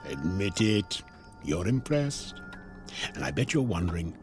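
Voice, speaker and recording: A sly devil of a traveling salesman in a radio play. His low voice swings up and down through glib, coaxing patter. Music plays underneath as he works on his customer. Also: very animated, measured pace. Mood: smug, goading. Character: sly devilish door-to-door salesman peddling a time-bending clock. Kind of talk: modern radio drama acting.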